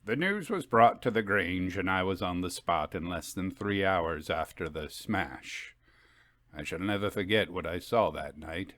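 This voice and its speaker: A man voicing a genial gentleman in an old story, with keen, confiding, old-fashioned speech. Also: deep voice, lively intonation, a little slow, no echo, voice pushed down. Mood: grave, explanatory.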